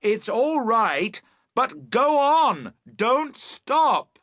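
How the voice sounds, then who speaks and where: A man's voice: very high-pitched, very animated, extremely slow, a bit bright, no echo, clean studio sound, pitched above the performer's own voice, heavily acted. A man voicing an exasperated boater calling out instructions in a storybook reading. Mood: strained patience. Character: exasperated male boater shouting instructions to girls.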